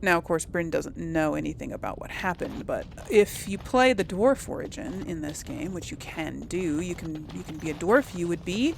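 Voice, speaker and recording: A woman's voice: lowish voice, animated, normal speed, creaky, few pauses, a little hiss or hum. A woman doing casual video game commentary, rambling and thinking aloud in an unsure way. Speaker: video game let's-play host.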